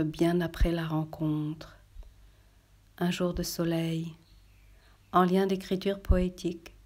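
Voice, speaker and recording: A woman poet reading poetry aloud in a measured, solemn literary style, with deliberate, short, separated phrases. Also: on the low side, warm tone.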